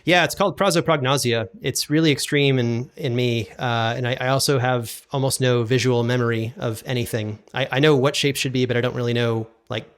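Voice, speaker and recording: A man's voice: medium pitch, steady tone, brisk, few pauses. A man speaking as a podcast guest in a casual interview, often doubling back and repeating his words hesitantly. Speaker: podcast guest, audio drama producer and sound designer.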